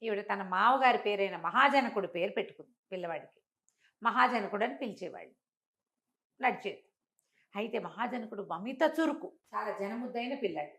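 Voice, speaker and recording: An older woman telling a traditional moral story in a conversational interview, acting out the characters' speech with lively jumps in voice. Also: fairly quick. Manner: animated, acts out quoted speech with big pitch jumps.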